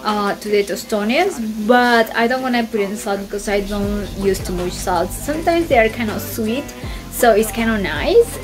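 A woman in her forties with a Colombian Spanish accent, talking slowly and haltingly through a recipe in her home kitchen, filmed on a phone held at arm's length. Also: mid-range voice, low-quality recording. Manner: halting, explaining step by step with fillers. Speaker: vlogger. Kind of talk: casual cooking vlog. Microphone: phone camera at arm's length. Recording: music playing over the talk.

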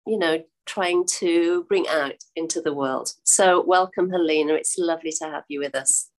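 An older woman hosts an interview over a video call from a room at home. Her voice sits a little low, and she talks in an encouraging way with drawn-out phrasing. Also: poor audio. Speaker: host. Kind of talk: interview hosting. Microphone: video call webcam.

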